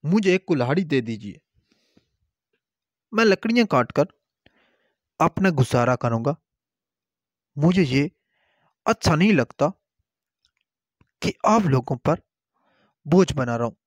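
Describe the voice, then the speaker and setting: Man voicing a humble-sounding sly swindler, slow-spoken. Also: on the high side, big pitch swings, no echo, exaggerated voice. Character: sly male swindler posing as a grateful traveller.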